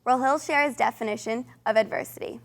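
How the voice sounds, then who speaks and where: Young female co-host, higher voice. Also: a little fast. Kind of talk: scripted presenting.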